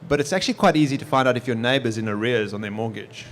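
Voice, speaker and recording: Fast-talking South African man. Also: medium pitch. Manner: quick, explanatory, easygoing. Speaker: guest, technology executive. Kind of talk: conversational interview answers. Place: live podcast stage at a property expo.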